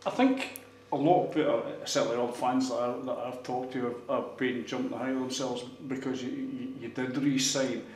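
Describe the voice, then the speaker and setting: Man, club TV interviewer, chatty questions, mid-pitched voice, echoey room, faint hum. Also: fairly even intonation, normal speed, hardly any pauses, a little mellow. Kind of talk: post-match interview questions.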